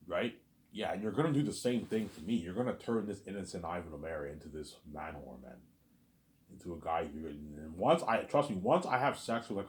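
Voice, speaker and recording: A man talking straight to his audience in a rambling monologue, repeating himself, stumbling over restarts, and letting his asides fade quietly. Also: low-pitched. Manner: repetitive, stammers on restarts, trails off quietly on asides. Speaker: solo vlogger.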